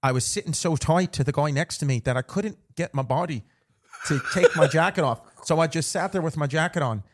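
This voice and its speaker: Casting: chatty, foul-mouthed Irishman, acted by a man, higher-pitched, giggly and rambling, for podcast comedy. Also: fairly quick, lightly acted. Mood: rambling storytelling. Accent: put-on Irish. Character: jokey, profane Irishman, chatty podcast host. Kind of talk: comic banter in a put-on accent.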